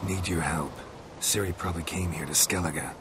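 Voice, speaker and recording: An actor playing a terse, gruff monster hunter in a video game cutscene, speaking in short, flat sentences. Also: male voice, low-pitched, moderate pace, noisy background, natural voice.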